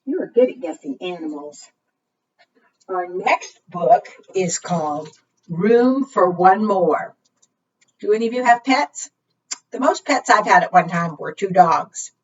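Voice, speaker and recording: A woman leading a preschool storytime, reading aloud and talking to young children in an expressive, deliberate, teacherly way. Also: fairly low pitch. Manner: asks the young listeners frequent simple questions. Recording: muffled, distant microphone.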